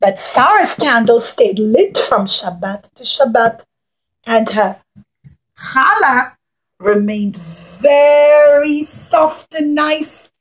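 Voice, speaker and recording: High-pitched woman telling children a story. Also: expressive, slow pace, rough recording. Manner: simple short phrases, addresses children directly. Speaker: children's puppet show storyteller. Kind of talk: animated storytelling to young children.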